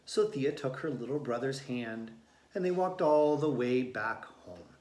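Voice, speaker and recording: A man's voice: mid-range voice, lively intonation, unhurried, darkish tone, reverberant. A man speaking as a teacher, addressing his students and reading a picture book aloud.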